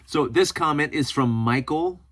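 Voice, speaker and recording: A man's voice: fairly high pitch, a little slow, a bit bright. A man hosting a casual solo vlog, talking informally with self-deprecating humor as he reads and answers comments. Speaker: host of a preparedness video channel.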